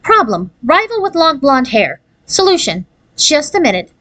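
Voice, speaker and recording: A woman's voice: high voice, very animated, moderate pace, a bit bright, voice pushed up. A woman voicing an anxious, self-important fairy godmother who talks in pompous, formal officialese for a storybook. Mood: triumphant. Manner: pompous, formal bureaucratic wording.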